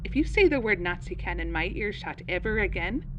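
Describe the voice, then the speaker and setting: A woman playing a gruff, menacing female officer in an audio drama, speaking bluntly. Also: mid-range voice, expressive, fairly quick, a bit bright, highs cut off, slight background noise, lightly acted.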